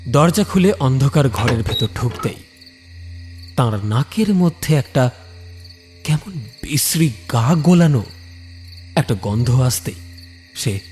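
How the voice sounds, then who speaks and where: A man tells a scripted horror story in a mid-pitched voice. He breaks it into suspenseful short phrases, with soft music and night insects behind him. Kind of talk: scripted dramatic narration.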